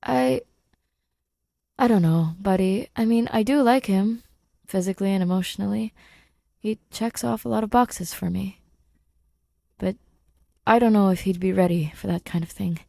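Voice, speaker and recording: A woman voicing an awkward, fond, self-deprecating man in an audiobook, halting and conversational. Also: medium pitch, vocal fry, mellow, no echo, studio quality, lightly acted. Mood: hesitant, wistful. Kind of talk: audiobook fan fiction dialogue.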